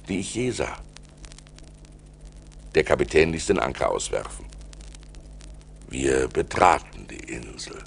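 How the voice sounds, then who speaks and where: A wealthy old-world seafarer telling his story in a radio play. His low voice is dignified and deliberate, swinging very widely in pitch as he narrates. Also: slight background noise. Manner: deliberate, expressive.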